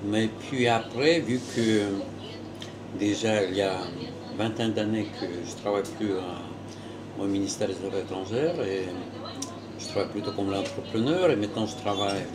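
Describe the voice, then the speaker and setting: Older man, low voice. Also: normal speed, noisy background. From Russia. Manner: reflective, searches for words. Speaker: entrepreneur, former diplomat.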